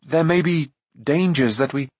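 A man voicing an earnest, worried young adventurer in a storybook, speaking gravely and firmly. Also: on the high side, measured pace, a little mellow, no room sound. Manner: grave, firm, urgent.

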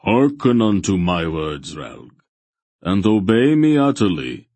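A stern, ancient god as a male narrator plays him, speaking in a very low, slightly rough voice. He is grave and majestic, issuing archaic commands slowly and solemnly in a fantasy storybook. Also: big pitch swings, dry recording, exaggerated voice. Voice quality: soft, solemn voice.

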